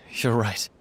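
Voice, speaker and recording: A man playing a hot-tempered, bitter young man in a video game, emotional and blunt. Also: pushed and bright. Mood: subdued.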